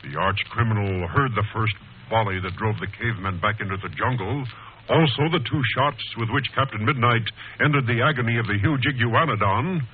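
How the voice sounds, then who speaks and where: Actor as emphatic vintage radio serial announcer. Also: male voice, fairly low pitch, lightly acted. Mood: suspenseful. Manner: slow, suspenseful, emphatic. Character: dramatic cliffhanger narrator of an adventure serial. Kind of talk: 1940s radio serial narration. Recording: tinny, hissy old radio broadcast.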